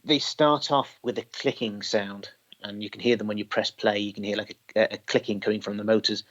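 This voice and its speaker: A man's voice: lowish voice, steady tone, fairly quick, a bit bright. A man chatting as an interview guest, explaining technical details at length. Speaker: podcast guest, tape machine collector. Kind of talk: conversational interview. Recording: dull, lo-fi sound.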